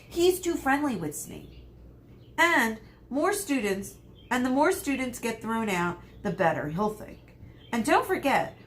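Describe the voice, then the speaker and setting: A woman's voice: sits high, a little hiss or hum, pitched above the performer's own voice. A woman reading aloud voices an eleven-year-old boy wizard, arguing urgently and insistently.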